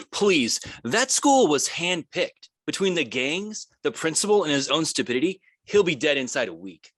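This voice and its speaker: A man's voice: a little high, sing-song, a little slow, fairly bright tone, no echo, full character voice. A callous conspirator, played by a man in a table read. The voice swings up and down with casual scorn and sounds close and dry. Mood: scornful. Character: callous, dismissive male conspirator at a dinner party.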